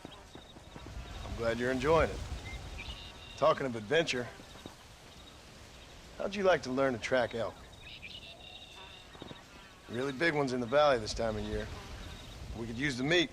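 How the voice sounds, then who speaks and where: An actor playing a gruff, protective American frontier father in a video game, with a dry, folksy western drawl. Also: mid-range voice, measured pace, long pauses, fairly bright tone, slight background noise, lightly acted. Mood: dry, patient. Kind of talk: cinematic video game acting.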